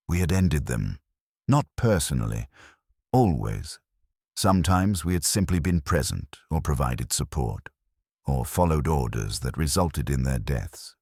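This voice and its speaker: A man with a husky, gravelly voice narrating a dramatic scripted story in a solemn, measured way, in short clipped phrases. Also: very deep voice, a little mellow, no echo, clean studio sound. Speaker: narrator of lore stories.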